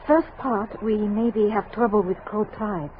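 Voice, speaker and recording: An actress playing a calm, knowledgeable young woman guide in an old-time radio drama, speaking halting, simplified English. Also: mid-range voice, narrow, tinny audio, natural voice. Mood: calm, measured. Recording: thin, noisy vintage radio recording.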